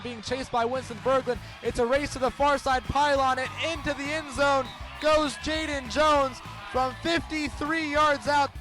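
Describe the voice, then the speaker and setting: A young American man does live sports play-by-play for radio in a strikingly high voice. His delivery shifts between measured description and excited, shouted calls. Music is clearly audible behind the broadcast.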